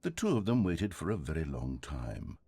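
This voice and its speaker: An actor playing a wry, theatrical storybook narrator in a video game. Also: male voice, a bass voice, huge pitch swings, normal speed, mellow, breathy edge, no room sound, heavily acted. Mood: wry, dramatic. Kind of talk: scripted narration.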